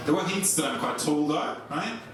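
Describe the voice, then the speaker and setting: A young Swiss man with a German accent, doing a stand-up set in a comedy club with a dry, deadpan delivery. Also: normal speed, recorded from a distance. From Switzerland. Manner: dry, deadpan delivery with lively swings in pitch. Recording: echoey club recorded from afar, audience noise.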